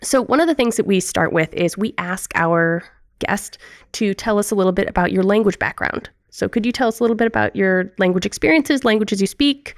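A woman's voice: mid-range voice, a little fast, no echo, clean studio sound. Upbeat American woman hosting a podcast. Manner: upbeat, enthusiastic. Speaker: podcast host. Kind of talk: podcast interview.

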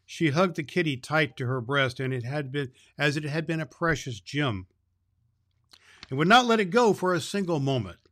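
A man's voice: fairly high pitch, animated, moderate pace, fairly bright tone, no room sound. Man reading a children's storybook, very dry sound. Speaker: storyteller reading aloud as Santa Claus.

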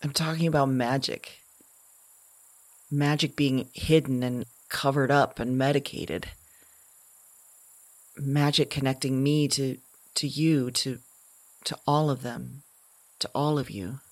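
A woman playing a woman who thinks she talks to women across time, in a halting, searching monologue. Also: rumbling low, steady tone, full character voice. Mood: musing, intense. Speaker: lead character of an audio drama. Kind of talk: intimate dramatic monologue.

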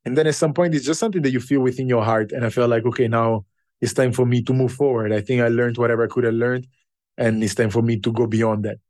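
A man who learned English later in life, a podcast guest telling his story in an unhurried, reflective way with pauses. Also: mid-range voice, a little mellow, no room sound. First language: not English. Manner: unhurried and thoughtful, with pauses and fillers.

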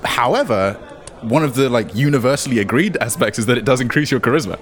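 A man's voice: mid-range voice, animated, fast talker. A man casually narrating and explaining rules as game master of a tabletop roleplaying game.

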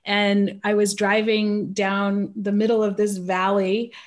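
A woman's voice: medium pitch, level tone, slow pace. A woman from California with an American accent, a guest in a casual interview, talking about pricing in a chatty way and often breaking into laughter.

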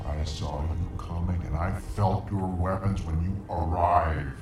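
An actor playing a brooding, menacing monster from another dimension in an audio drama, speaking formally and ponderously. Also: male voice, deep voice, steady tone, measured pace. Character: brooding, menacing interdimensional monster with vast senses. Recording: echoing voice under music.

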